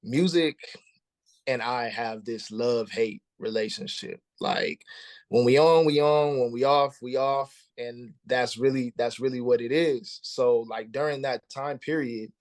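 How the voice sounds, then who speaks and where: A man's voice: mid-range voice, steady tone, a little slow, a little mellow, dry recording. A man in his thirties, a musician guest talking casually in an interview, laid-back and slangy, often repeating words as he starts a thought. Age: 30s.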